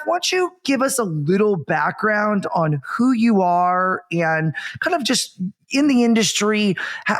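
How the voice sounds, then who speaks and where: A man's voice: high voice, fairly bright tone. A man hosting a podcast, introducing guests in an upbeat, conversational style. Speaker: podcast host.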